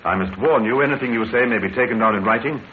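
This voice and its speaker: An actor playing a courteous but firm police inspector in a vintage radio drama. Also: male voice, medium pitch, lightly acted. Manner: courteous, measured, quietly stern. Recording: tinny noisy old radio broadcast.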